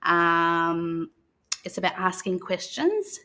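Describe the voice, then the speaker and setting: An Australian woman speaking as a guest storyteller, talking and reading a picture book aloud in a gentle manner. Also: lowish voice, very animated, slow. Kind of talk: unscripted talk and picture-book read-aloud.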